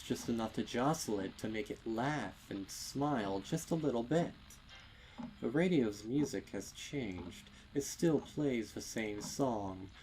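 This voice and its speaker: A man reading a scripted dark story as narrator, in a measured, deliberate and suspenseful way. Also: lowish voice, slight background noise, rough recording. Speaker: narrator of a horror fan fiction reading.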